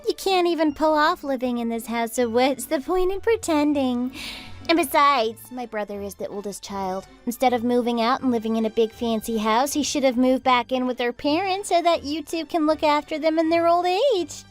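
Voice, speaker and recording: A woman acts out a spoiled young sister-in-law in a story drama. She is haughty and whiny, pitched high, and makes her demands with open scorn over faint background music. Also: moderate pace, lightly acted. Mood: scornful, demanding. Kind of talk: dramatized story narration acting.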